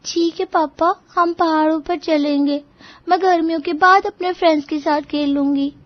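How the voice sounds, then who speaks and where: A woman's voice: very high voice, steady tone, normal speed, muffled recording, pitched above the performer's own voice. A woman voicing a pouty but obedient little girl in a cartoon story. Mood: agreeable.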